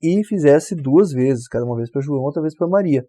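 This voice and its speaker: A man explains code in a relaxed, mellow voice. He walks through each step and keeps checking in with the listener. The sound is dry and close, with no room around it. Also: a little high, brisk, no echo. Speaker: programming tutor. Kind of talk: screen-recorded coding tutorial.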